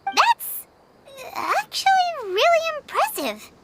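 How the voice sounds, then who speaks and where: A woman voicing a tiny, bubbly, childlike fairy companion with a squeaky voice in an anime-style video game. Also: huge pitch swings, slow pace, very noisy, exaggerated voice. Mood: surprised, curious. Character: tiny chirpy floating fairy companion, childlike, speaks in third person.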